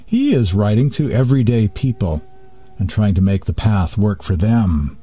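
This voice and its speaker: A man hosting a spiritual radio talk, reading and explaining teachings in deliberate, short phrases. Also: lowish voice, animated, dark tone, breathy edge. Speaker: radio host.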